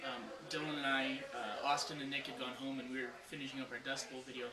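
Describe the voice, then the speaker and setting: A man's voice: medium pitch, little pitch movement, quick pace. A young man rambling with lots of "you know" while joking about his video partner in a mock interview. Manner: rambling, full of you-knows. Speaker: video creator. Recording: echoey room with crowd noise.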